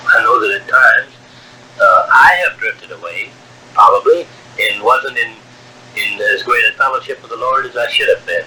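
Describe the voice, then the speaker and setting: A man in his sixties from Wyoming, telling his life story on a home cassette tape, halting, with many fillers, and a strong voice. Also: medium pitch, expressive, pushed and bright, a little hiss or hum, rough recording. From Wyoming, USA. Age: 60s. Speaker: narrator of own life story. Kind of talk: taped personal memoir. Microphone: cassette recorder.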